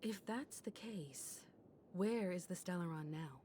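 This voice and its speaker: A woman playing a poised, mature traveller in a video game, calm and determined. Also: on the low side, unhurried, slight background noise. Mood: questioning. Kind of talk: scripted video game voice acting.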